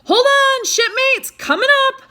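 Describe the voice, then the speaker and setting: A woman's voice: very high voice, a little slow, voice pushed up, heavily acted. A woman voicing a bold male lifeboat captain who commands and rallies his crew in a storybook. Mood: urgent, rallying. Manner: commanding, shouted orders.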